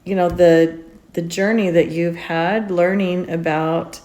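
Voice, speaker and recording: A woman hosts a casual podcast in a chatty, upbeat way, leaning into her words with lively emphasis. Her voice sits a little low for a woman, and she moves the talk along easily. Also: takes it slowly. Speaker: podcast host. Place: indoors on a road trip in Utah mountains.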